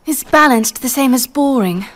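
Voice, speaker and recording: A woman playing a kind, gently teasing teenage girl in an animated game cutscene, coaxing in manner. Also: high-pitched, moderate pace. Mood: soft, wistful.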